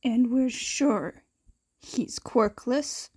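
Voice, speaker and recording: A woman voicing a cold, calculating masked male villain in a story, clinical and measured. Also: on the high side, darkish tone, husky, heavily acted.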